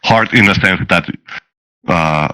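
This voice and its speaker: A man with a deep but bright, forceful voice explains things in a casual discussion. His delivery is halting, as he feels his way to each phrase. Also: clear, resonant tone, poor audio. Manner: halting, searching for words. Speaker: game creator on a panel.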